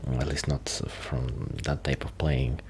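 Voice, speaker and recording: Male performer as quiet, bashful musician friend for ASMR roleplay: hushed, hesitant, very deep with vocal fry. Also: little pitch movement, a little slow, slight background noise. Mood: modest, resigned. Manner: hesitant, rambling, self-interrupting. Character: shy, quiet young male musician friend who rambles about music. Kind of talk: soft-spoken ASMR roleplay with whispering.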